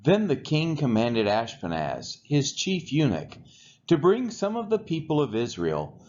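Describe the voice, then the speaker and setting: A man reading the Bible aloud from the text in a measured, deliberate way, phrase by phrase. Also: mid-range voice, darkish tone, muffled recording. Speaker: scripture reader.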